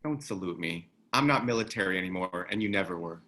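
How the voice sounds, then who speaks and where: An actor at a table read playing a gruff, weary ex-military man who talks tersely and gives orders. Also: deep voice, lively intonation, fast talker, pushed and bright. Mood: terse, commanding. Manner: terse, commanding. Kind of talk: screenplay table read acting.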